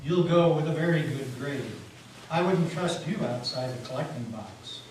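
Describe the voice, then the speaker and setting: A man's voice: a little high, animated, normal speed, darkish tone, recorded from a distance, close to an everyday voice. A man playing a sly, smugly polite devil in a stage reading, with a simpering, mock-pious manner. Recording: echoey hall from afar, background noise.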